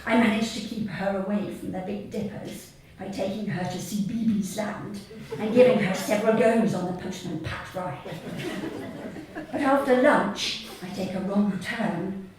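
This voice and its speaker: A woman reading her comic short story live to an audience, in a deadpan, measured way with deliberate pauses. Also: medium pitch, crowd noise. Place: theatre stage at a live reading event. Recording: echoey hall, distant mic, audience noise. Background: audience laughter.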